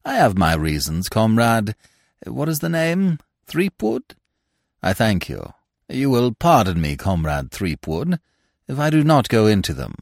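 A man acting a smooth-mannered young English gentleman from a storybook. He is unflappable and wordy, with measured, formal courtesy and a widely varied intonation in a dry, close recording. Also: lowish voice, huge pitch swings, dry recording, exaggerated voice. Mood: calm, courteous. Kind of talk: audiobook character voice.

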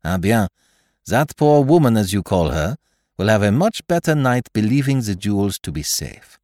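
A man plays a storybook detective with a very low voice. He is calm, courteous and shrewd, speaking with dry, measured formality in a close, dry sound. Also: no room sound. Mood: shrewd, assured.

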